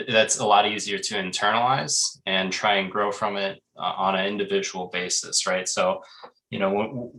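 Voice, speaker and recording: A lowish, bright male voice coming through a video call. He talks as a panelist, halting and reflective, often restarting his sentences and leaning on fillers. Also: rough recording.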